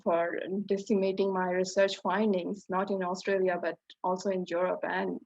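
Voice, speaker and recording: A woman's voice: fairly low pitch, flat delivery, moderate pace, darkish tone. A woman in her thirties with a Pakistani accent, introducing herself as a scientist on a webinar panel over a video call. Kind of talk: prepared self-introduction with hesitations. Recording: roomy, dull video-call audio.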